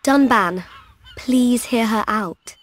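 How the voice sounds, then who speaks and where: A woman voicing a gentle, reassuring young woman, a loving sister, in a video game cutscene. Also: a little high, slow pace. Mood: pleading, reassuring.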